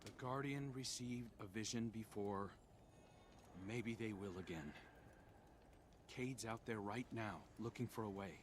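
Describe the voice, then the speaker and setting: Male actor, earnest scout, persuasive, somewhat deep, measured game dialogue, clear background noise. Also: close to an everyday voice. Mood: urging. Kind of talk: dramatic video game dialogue.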